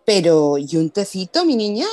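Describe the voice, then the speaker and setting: A woman's voice: mid-range voice, very animated, moderate pace, dark tone, natural voice. A woman playing a chatty, hospitable Andalusian wife in a radio drama. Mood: sweetly hospitable.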